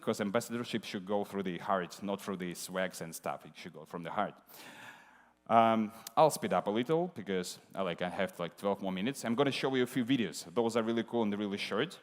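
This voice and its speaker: A man giving a casual conference talk, speaking informally with many fillers and hesitations. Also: fairly low pitch. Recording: hall reverb from a live stage.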